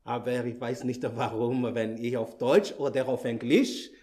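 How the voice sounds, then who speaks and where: A man whose first language is Malagasy, speaking German with a French accent as a visiting preacher in church, halting and searching for words. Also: medium pitch, fairly even intonation, a little mellow. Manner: halting, many fillers and restarts, searches for words. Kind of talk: church greeting and sermon opening. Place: church hall.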